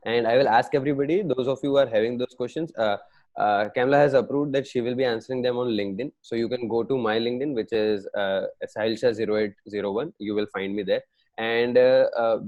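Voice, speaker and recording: A man moderating a webinar over a video call, reading out questions at a measured pace with frequent uhs. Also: mid-range voice, darkish tone, dry recording. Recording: dull lo-fi dry sound.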